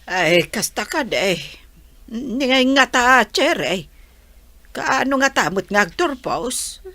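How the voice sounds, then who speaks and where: Woman acting as patient poor mother, middle-aged, expressive, dry close audio drama. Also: a little high, takes it slowly, no echo, full character voice. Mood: doubtful, weary.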